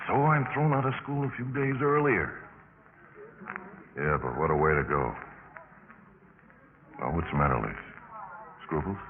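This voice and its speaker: An actor playing a flip, desperate young college student with a halting, pleading delivery in a 1950s radio drama. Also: male voice, low voice, lively intonation. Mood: urging, flip. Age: young adult. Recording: muffled, noisy 1950s radio recording.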